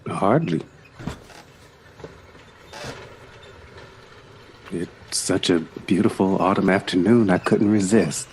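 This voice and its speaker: An actor playing a devoted, teasing lover in an audio drama, affectionate and dryly playful, with a husky, gravelly voice. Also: male voice, low-pitched, normal speed, darkish tone, a little hiss or hum. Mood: relaxed. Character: devoted, teasing male lover of a jazz composer.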